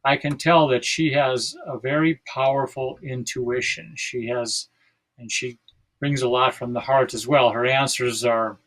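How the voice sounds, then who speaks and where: A man's voice: medium pitch, fairly even intonation, unhurried, a bit bright, rough recording. An American man in his sixties from South Dakota, talking casually and reflectively as a podcast guest, often searching for his words. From South Dakota, United States.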